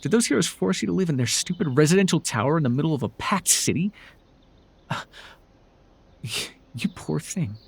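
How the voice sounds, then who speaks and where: Man playing a sardonic, husky-voiced supervillain, theatrical and animated. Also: fairly high pitch, normal speed, long pauses, heavily acted. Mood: dawning sympathy. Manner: sarcastic, theatrical, taunting. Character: sardonic male telepathic supervillain, menacing yet curious. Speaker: voice actor in audio roleplay. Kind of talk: dramatic ASMR roleplay acting.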